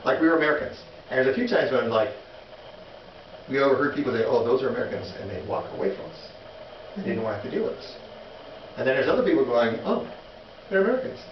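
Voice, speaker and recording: A man teaching a missions class in a relaxed, halting way, with many restarts and fillers while telling stories. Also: mid-range voice. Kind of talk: informal classroom lecture. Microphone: distant room mic. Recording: echoey, distant, muffled classroom recording with noise.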